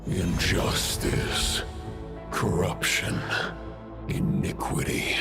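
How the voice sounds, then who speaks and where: Deep, gravelly, slow ancient menace. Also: male voice, monotone, over music, full character voice. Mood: menacing, scornful. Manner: slow, portentous. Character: ancient, bitter, menacing male foe scorning the surface world. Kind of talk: video game villain acting.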